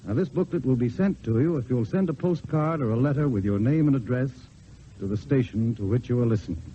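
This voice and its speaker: A man giving an earnest, measured, scripted inspirational address on vintage radio. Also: medium pitch. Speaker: spokesman delivering a church message. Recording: dull vintage radio recording, faint hiss.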